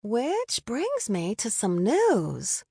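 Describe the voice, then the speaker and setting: A woman voicing a cheerful young newlywed woman who talks in a bright, chatty way. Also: fairly high pitch, wildly swooping pitch, extremely slow, vocal fry, slightly rough, dry recording, studio quality, voice pushed up, heavily acted.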